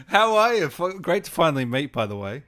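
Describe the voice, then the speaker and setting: A man's voice: fairly high pitch, very animated, moderate pace, fairly bright tone. A man hosting a casual streamed interview, talking informally and tagging his lines with little questioning asides. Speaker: host, interviewer.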